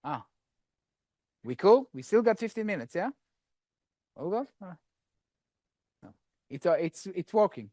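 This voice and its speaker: A man speaking at a developer conference, walking through a live coding demo in a loose, chatty way and trading jokes with the audience. His voice sits a bit high for a man. The sound is dry and close, with almost no room echo. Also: very animated, unhurried, pauses often, mellow.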